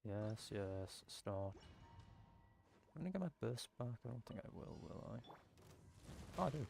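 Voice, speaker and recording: Gravelly voice, mid-range pitch, casual gameplay livestream commentary, rambling, thinking aloud. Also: very animated, unhurried, a little mellow, slight background noise. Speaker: video game streamer.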